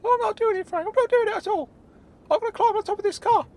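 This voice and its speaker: A man voicing a timid, grumbling older companion who fusses and protests anxiously in a comic audio story. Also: fast talker. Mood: protesting. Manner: fussy, anxious, hushing and protesting. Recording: faint rumbling background noise.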